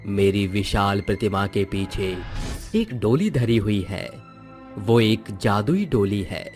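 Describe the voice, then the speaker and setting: A man's voice: fairly low pitch, darkish tone. Man voicing a solemn, majestic god, music behind. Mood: solemn, reassuring. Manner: slow, solemn, reassuring. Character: god Shiva, calm majestic male divine voice. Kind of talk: Hindi moral storybook acting.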